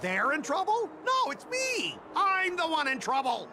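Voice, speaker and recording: An actor playing an indignant, hot-tempered shipmaster in a video game, blustering and argumentative. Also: male voice, extremely high pitch, wildly swooping pitch, a little slow, full character voice. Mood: outraged. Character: indignant, hot-tempered male company shipmaster, quick to complain. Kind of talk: animated video game voice acting. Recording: game audio with music behind.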